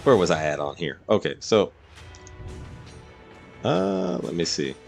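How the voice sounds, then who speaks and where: Guy streaming a game, kinda low voice, rambly explaining stuff to chat. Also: huge pitch swings, a little slow, creaky, soft background music. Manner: rambling, explanatory, talking to viewers. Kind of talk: casual live-stream game commentary.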